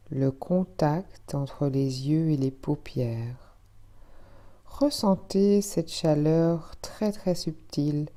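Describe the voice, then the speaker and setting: A woman teaching yoga nidra, guiding a relaxation meditation in a soothing, hushed, measured manner with gently repeated phrases. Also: deep voice.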